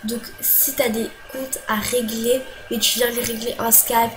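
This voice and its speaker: Young woman, vlogger, agitated, stumbling fillers, stuffy with a cold, higher voice, faint background noise. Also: level tone, measured pace. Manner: agitated, many fillers and restarts. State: has a cold. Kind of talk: casual venting to camera.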